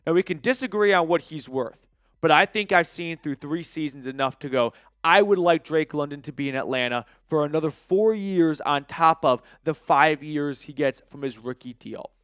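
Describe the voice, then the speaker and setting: Man, American accent, sports commentary, emphatic, conversational, crisp clear voice, dry recording. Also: fairly high pitch, moderate pace, a bit bright, no echo. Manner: opinionated, argues point by point, emphatic. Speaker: sports show host.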